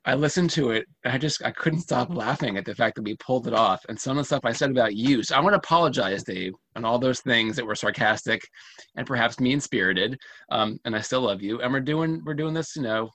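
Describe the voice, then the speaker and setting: A man's voice: mid-range voice, fairly quick, fairly bright tone, dry recording. A man hosts a podcast in a loose, joking style, teasing his co-host and throwing in asides. His voice sounds dry and close, with no room around it. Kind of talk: casual chat.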